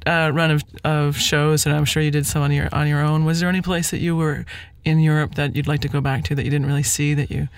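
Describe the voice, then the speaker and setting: A man with a Canadian accent hosting a casual interview, thinking aloud in halting, conversational questions and asides. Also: fairly high pitch, flat delivery, clear, resonant tone, dry recording, clean studio sound. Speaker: interviewer, host.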